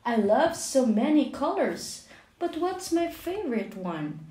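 Slow, echoey woman reading a storybook. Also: high voice, big pitch swings, dark tone. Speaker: children's book reader, channel host. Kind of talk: storybook read-aloud for young children.

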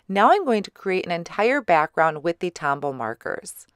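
Slightly hoarse woman narrating a craft video. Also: fairly low pitch, normal speed, no echo, studio quality. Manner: relaxed, chatty explaining with small fillers. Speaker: card-making channel host. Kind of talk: craft tutorial voice-over. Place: recorded separately as voice-over.

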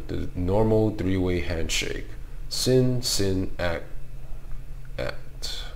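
Slow, raspy man with a cold. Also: sits low, slight background noise. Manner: reads task questions aloud and answers them step by step. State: hoarse from a cold. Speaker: tutorial host. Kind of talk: screen-recorded walkthrough tutorial.

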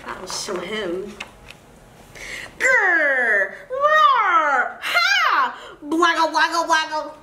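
A woman's voice: high voice, sing-song, slow, few pauses, bright, forceful tone, a little hiss or hum, voice pushed up, exaggerated voice. A woman voicing a small, clumsy monster who tries hard to be scary, with giggly, exaggerated growls and cheers. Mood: mock-scary. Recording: roomy echo.

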